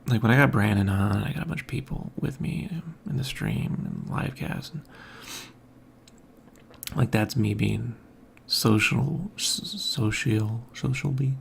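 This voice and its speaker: A man hosting his own podcast, talking casually and softly in a quiet, mumbling way from a home room with an overhead mic. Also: on the low side, very animated, a little slow, vocal fry, slight background noise. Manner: quiet, soft-spoken, mumbling. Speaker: podcast host. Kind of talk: casual morning monologue. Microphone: hanging overhead mic.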